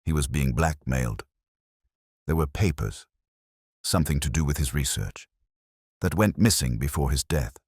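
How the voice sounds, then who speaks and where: A man voicing a formal, restrained grieving widow in a mystery story, speaking calmly and carefully. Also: rumbling low, normal speed, long pauses, breathy edge, no room sound, studio quality, full character voice. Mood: calm, guarded.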